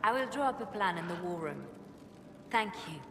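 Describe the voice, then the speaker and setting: An actress playing a composed, devoted spymaster in a fantasy game, with a measured, restrained delivery. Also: female voice, fairly low pitch, lively intonation, a bit bright, roomy sound, long reverb tail, slight background noise. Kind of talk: scripted fantasy game dialogue.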